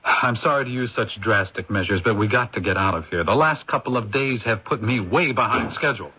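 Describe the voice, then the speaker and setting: A man's voice: lowish voice, brisk, pushed and bright, husky. An actor playing a harried, irritable male film director in a radio drama, exasperated and brusque. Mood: impatient. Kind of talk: old-time radio drama acting. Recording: dull vintage radio recording.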